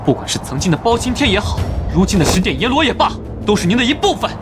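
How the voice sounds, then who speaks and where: A man playing an earnest, defiant young summoner of ancient gods in an animated fantasy, confident and declamatory. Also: high-pitched, fast talker. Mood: impassioned. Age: young adult. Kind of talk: animated fantasy motion-comic dialogue. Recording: loud dramatic music bed.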